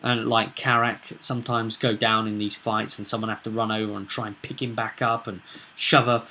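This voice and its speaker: A man talking casually as a game master in an animated, blunt, rambling commentary. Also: fairly low pitch, moderate pace. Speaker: tabletop game master, video presenter.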